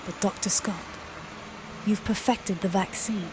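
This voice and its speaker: A woman playing a gentle, encouraging scientist with a soft, earnest manner in an audio comic. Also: medium pitch, steady tone, unhurried, noisy background, close to an everyday voice.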